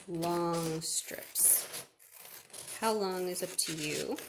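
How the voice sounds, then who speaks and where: A woman's voice: medium pitch, vocal fry, slight background noise. A woman chatting through a step-by-step craft for children, asking questions and answering them herself. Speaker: children's librarian leading a craft.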